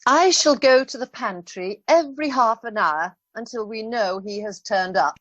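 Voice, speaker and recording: A woman's voice: on the high side, animated, normal speed, fairly bright tone, no echo. Woman playing a starchy country-house hostess, muffled recording. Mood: anxious, composed. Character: starchy upper-class lady of the house, coolly indignant. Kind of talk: amateur radio play acting. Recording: dull online call recording.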